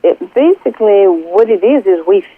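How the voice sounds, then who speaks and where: A woman from Greece with a Greek accent, a spiritual coach speaking earnestly and haltingly as a guest on a radio interview. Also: medium pitch, animated, no room sound. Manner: earnest, halting, many pauses and fillers. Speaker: spiritual coach and Reiki healer. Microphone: telephone. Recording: tinny phone line, dry.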